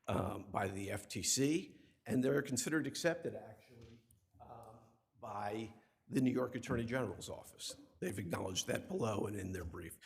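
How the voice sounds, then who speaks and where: A man's voice: lowish voice, gravelly, breathy edge. A man arguing an appeal before judges in a courtroom, speaking emphatically at a podium mic and often repeating words as he quotes case law. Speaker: appellate attorney. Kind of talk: oral argument.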